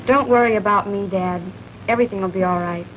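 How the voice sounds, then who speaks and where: An actress playing a spirited, firm young frontier daughter in a 1930s western film, speaking directly. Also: female voice, mid-range voice, fast, fairly bright tone, close to an everyday voice. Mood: reassuring. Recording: noisy old 1930s film soundtrack.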